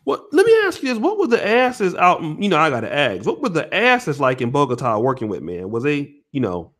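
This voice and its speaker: A man's voice: fairly high pitch, huge pitch swings, a little fast, fairly bright tone. A man hosting an interview, asking casual, blunt, probing questions. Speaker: host.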